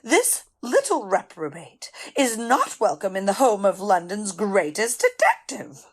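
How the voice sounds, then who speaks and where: A woman's voice: medium pitch, huge pitch swings, measured pace. A woman playing an indignant, fussy housekeeper in a radio play, scolding and huffy.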